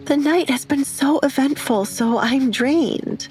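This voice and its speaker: A woman playing a reserved, polite young bride in an audio romance drama, soft-spoken and weary. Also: sits high, slow pace, faint music behind, pitched above the performer's own voice, low-quality recording. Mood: tired, polite.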